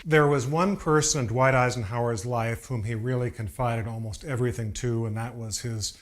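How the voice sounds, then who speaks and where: Guy explaining history for a documentary, medium pitch, conversational. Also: fairly even intonation, moderate pace. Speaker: historical commentator. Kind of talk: documentary interview commentary.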